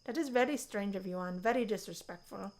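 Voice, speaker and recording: A woman's voice: medium pitch, brisk, a little mellow, a little hiss or hum, voice pushed down, lightly acted, low-quality recording. A woman voicing an earnest, literal-minded young male student who speaks in a stilted, formal way. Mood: solemn reproach. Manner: stilted, formal, without contractions.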